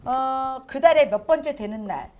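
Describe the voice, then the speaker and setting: A woman's voice: high voice, expressive, normal speed, a little mellow, slight background noise. A woman hosting a casual studio talk show, leading a spelling quiz with upbeat prompts. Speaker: host.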